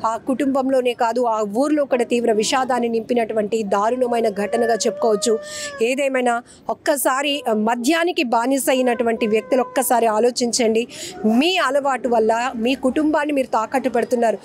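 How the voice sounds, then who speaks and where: High-pitched woman delivering solemn news. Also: fairly quick, darkish tone, slight background noise. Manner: solemn, earnest, appealing to viewers. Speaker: news presenter. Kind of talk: emotive news narration.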